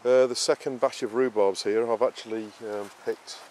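A man's voice: medium pitch, steady tone, normal speed, darkish tone, soft background music. A man touring his vegetable allotment on camera, explaining his planting step by step in a rambling way, with lots of fillers and restarts. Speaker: allotment gardener, show host. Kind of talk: casual garden tour walkthrough. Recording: noisy outdoor camera audio. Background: outdoor rural ambience.

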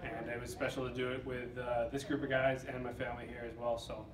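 Flat-voiced young player, echoey room. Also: male voice, mid-range voice, brisk, far from the mic. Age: 20s. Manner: plain and matter-of-fact, with many uh fillers. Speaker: team captain, hockey player. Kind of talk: postgame interview. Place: indoor press backdrop room. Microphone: far camera mic. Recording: echoey room, off-mic, crowd behind.

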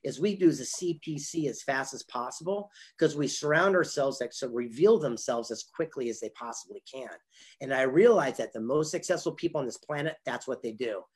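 An older man speaks as a motivational storyteller over a video call. His voice is husky and rough-edged at a middling pitch. He delivers his points with deliberate emphasis. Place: indoor room on a video call.

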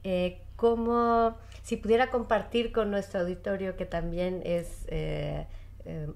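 A woman's voice: medium pitch, fairly even intonation, slow pace, vocal fry, darkish tone. A woman hosting an interview, asking polite questions with hesitant fillers at a studio desk microphone. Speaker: interview host. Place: small studio office, indoors.

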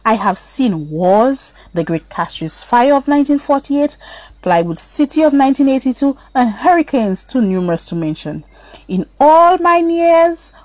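A woman's voice: medium pitch, a little mellow, no echo, low-quality recording. A woman voicing a wise man in his eighties in a children's story, reminiscing solemnly. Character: wise, reflective eighty-three-year-old man.

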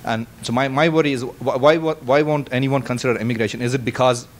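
A man's voice: medium pitch, fairly even intonation, fast talker. A man in a conference room asking a worried, searching question, with restarts. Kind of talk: question from the floor.

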